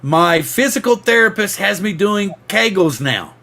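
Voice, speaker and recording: A man's voice: high-pitched, lively intonation, a little slow, vocal fry, sharp tone, a little hiss or hum. A middle-aged man hosting a casual podcast chat, rambling and jokey, laughing often. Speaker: podcast host. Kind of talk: casual chat on a livestream panel.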